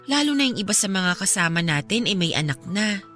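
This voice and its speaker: A woman's voice: medium pitch, sing-song, fairly quick, fairly bright tone, faint music behind. An actress playing a caring but frank young woman chiding her ex in a radio drama.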